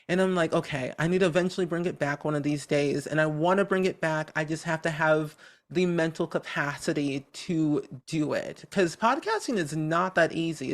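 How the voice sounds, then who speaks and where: Cheery, talkative podcast guest. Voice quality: naturally high voice with a medium tone. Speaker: podcast guest, content creator. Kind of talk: casual interview chat.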